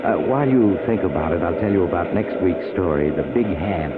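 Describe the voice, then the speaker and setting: An actor playing a sinister, mysterious traveler who narrates an old-time radio drama, confiding in the listener in an ominous, deliberate way. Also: male voice, low voice, animated. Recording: noisy, narrow old radio recording.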